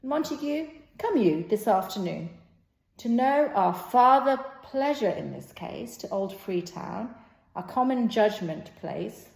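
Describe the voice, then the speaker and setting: In an echoey room, a stern prince read by a woman issues commands as in a stage play. The delivery is slow, declamatory and a little halting. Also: on the high side, expressive, creaky, darkish tone, exaggerated voice. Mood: stern, commanding. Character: stern, commanding prince rebuking brawling citizens.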